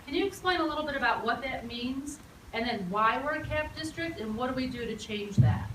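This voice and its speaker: A woman in the audience asking a question in a polite, direct way. Her voice is slightly high. She sounds distant in a roomy hall. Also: animated, brisk, a bit bright. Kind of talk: question from the floor. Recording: echoey, distant, with faint noise.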